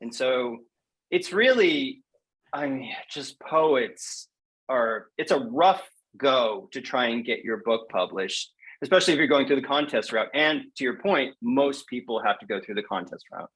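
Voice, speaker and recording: A man talks as a guest in a relaxed interview, with a mid-pitched voice. He is frank and emphatic, often doubling back on a phrase to stress it. The style is conversational and informal. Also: unhurried, low-quality recording. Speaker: poet interviewed as podcast guest.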